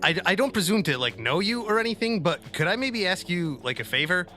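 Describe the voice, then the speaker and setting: A man playing a tabletop roleplaying game, improvising casually with hesitant, halting phrasing. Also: high-pitched, big pitch swings, sharp tone. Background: faint music.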